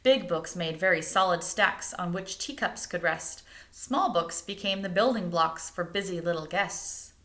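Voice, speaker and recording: A woman reading a picture book aloud like a teacher, expressively, pausing to explain things and put questions to young listeners. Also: on the low side, a bit bright. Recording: roomy, echoing indoor sound.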